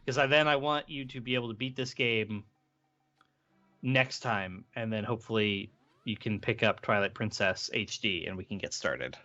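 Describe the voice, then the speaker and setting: A man's voice: on the low side, moderate pace, sharp tone, dull audio. A man co-hosting a podcast, chatting casually, teasing and blunt, swearing freely. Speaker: podcast co-host. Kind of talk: casual chat during a game stream. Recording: clear music playing behind the voice.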